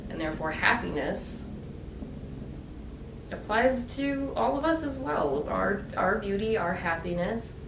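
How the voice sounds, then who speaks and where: A woman's voice: mid-range voice, a little slow, gravelly. A woman vlogger talking about her own choices in a casual, emphatic way, with many fillers and rising bursts of emphasis. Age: adult. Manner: animated, emphatic, lots of fillers. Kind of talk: casual opinion monologue. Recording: echoey room, mic at a distance, background noise.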